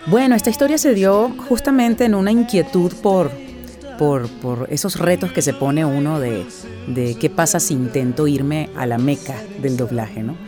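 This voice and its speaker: A woman's voice: low-pitched, normal speed, music in the background. A middle-aged woman from Venezuela who works as a voice actress, answering interview questions in a reflective way, often restarting and tagging her sentences with questions.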